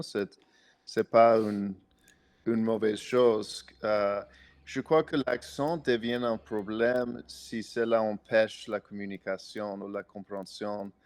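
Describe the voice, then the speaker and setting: A man with an American accent, a native English speaker, speaking as a podcast guest in careful, hesitant conversation full of restarts. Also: fairly low pitch. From United States. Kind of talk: casual conversation.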